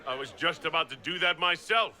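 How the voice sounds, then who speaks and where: A bold chosen hero in a fantasy game. His voice is a touch high and very bright and tense. He talks assertively and straight to the point. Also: fairly quick. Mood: challenging. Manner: assertive, direct. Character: bold, defiant young hero, chosen herald.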